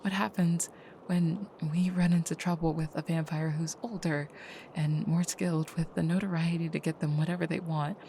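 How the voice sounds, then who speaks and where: A woman playing a tender, soft-spoken vampire girlfriend in an intimate audio roleplay, with a breathy near-whisper and gentle, slightly formal phrasing. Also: deep voice, fairly even intonation, moderate pace, slight background noise. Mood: anxious, self-doubting.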